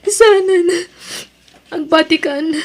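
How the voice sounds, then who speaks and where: A woman's voice: very high-pitched, slow pace. A woman acting a distraught young student in an Ilocano audio drama, with an emotional, melodramatic delivery. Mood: homesick, brooding. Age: young adult. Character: distraught young woman student, pleading, torn between lovers. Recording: music bed under the voice.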